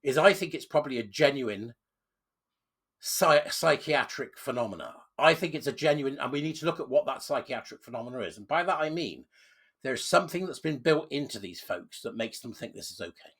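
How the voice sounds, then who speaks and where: A man with a cold, sounding croaky, hosting an emphatic opinion commentary with sudden loud stresses. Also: mid-range voice, animated, brisk. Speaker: channel host.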